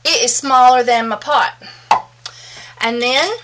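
A woman's voice: a little high, steady tone, slow pace, heavy background noise. A middle-aged woman with a Southern US accent, chatty and folksy, demonstrating soapmaking at home and calling her viewers "y'all.". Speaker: soapmaking instructor. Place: home soap room, indoors.

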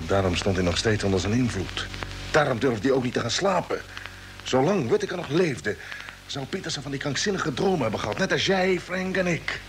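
An actor playing an earnest, troubled space commander, pressing urgently and emphatically, in old Dutch radio drama style. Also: male voice, medium pitch, lively intonation, a little fast, soft background music, close to an everyday voice. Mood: grave. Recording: noisy vintage radio play recording.

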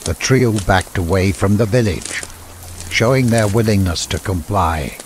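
A man's voice: lowish voice, unhurried, slight background noise. A man narrating a fantasy audiobook in a scripted, dramatic storytelling style. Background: music.

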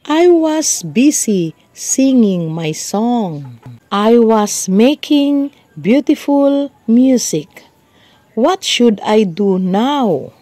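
A woman's voice: a little high, sing-song, extremely slow, mellow, dry recording, heavily acted. A woman voicing a carefree, hungry male grasshopper who pleads in a storybook fable, read emphatically. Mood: pleading.

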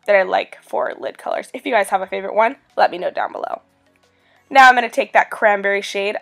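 A young woman in her twenties with a raspy voice from a cold, chatting upbeat through a makeup tutorial as a beauty vlogger. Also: medium pitch, a bit bright.

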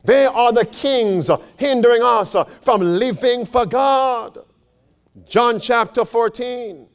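A man's voice: extremely high pitch, slow. A man preaching a sermon as a pastor, repeating phrases for emphasis and putting questions to his listeners. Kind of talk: sermon with scripture and quotations read aloud.

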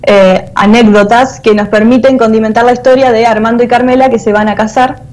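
A woman's voice: on the high side, fairly even intonation, brisk, darkish tone. A woman in her thirties with an Argentine accent, giving conversational interview answers as a guest over a video call. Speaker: guest, theatre artist and teacher. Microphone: earphone mic on a video call.

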